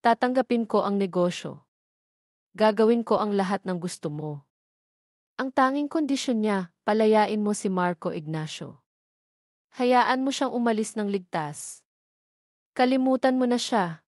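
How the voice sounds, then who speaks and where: A woman's voice: mid-range voice, lively intonation, a little slow, long pauses, darkish tone, dry recording, clean studio sound, close to an everyday voice. A woman narrator voicing a proud, wounded young heiress in an audio love drama, restrained and resolute. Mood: cold resolve.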